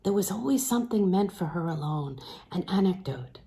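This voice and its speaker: A woman reading a literary novel aloud in a measured, phrase-by-phrase way, letting phrase endings fall soft and breathy. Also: lowish voice, animated. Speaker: audiobook reader.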